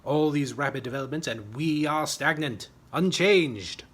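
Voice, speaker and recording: A man voicing a jaunty, pompous, excitable WWI pilot in a storybook reading, with old-fashioned officer bluster. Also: a little high, a little slow, slightly rough. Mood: grandly enthused.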